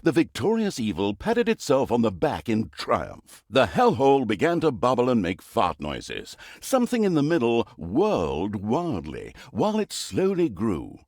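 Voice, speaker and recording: A theatrical male storyteller of an evil fantasy realm in a video game. His delivery is sardonic and mock-grand, with huge swings in pitch around a medium register. The sound is dry, with no room on it. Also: huge pitch swings, unhurried, hardly any pauses, darkish tone, dry recording, full character voice. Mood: dramatic, amused. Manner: wry, mock-grand, fourth-wall-breaking storyteller. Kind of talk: theatrical scripted comic fantasy narration.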